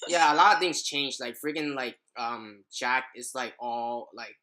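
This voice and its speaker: Male podcast host, bright tone, loose rambling casual talk full of filler words. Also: mid-range voice, unhurried. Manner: rambling, leans heavily on "like". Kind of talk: casual chat.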